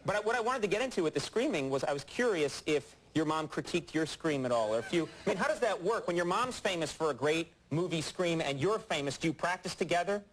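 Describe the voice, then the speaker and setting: A man's voice: on the high side, lively intonation, fast, few pauses, low-quality recording. A man hosting a late-night talk show, teasing his guest and joking at his own expense. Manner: self-mocking, teasing, playful banter. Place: TV studio.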